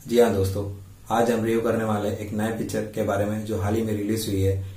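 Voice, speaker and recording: An Indian man with a slightly sore throat hosts a casual film review. His voice is low and extremely monotone, with halting, repetitive phrasing. It sounds a little distant from the microphone. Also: background noise. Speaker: YouTube film reviewer and host. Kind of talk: casual movie review to camera. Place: indoors before a green screen. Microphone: lapel mic. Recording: roomy echo, distant-sounding mic.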